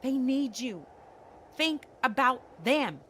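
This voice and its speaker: A woman's voice: medium pitch, moderate pace, slight background noise, close to an everyday voice. Actress as a stern, urgent survivor woman. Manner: forceful, urgent. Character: stern, grief-hardened woman among survivors. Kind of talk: motion comic voice acting.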